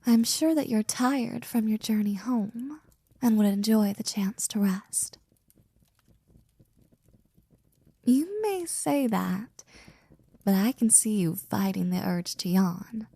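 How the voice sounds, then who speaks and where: A woman playing a devoted, flirty and jealous maid in an intimate ASMR roleplay, speaking softly and close to a whisper with teasing, courtly wording. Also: mid-range voice, takes it slowly, dry recording, exaggerated voice. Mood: coaxing, tender. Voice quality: soft, breathy, often near whispering. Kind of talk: ASMR roleplay, intimate one-sided scene.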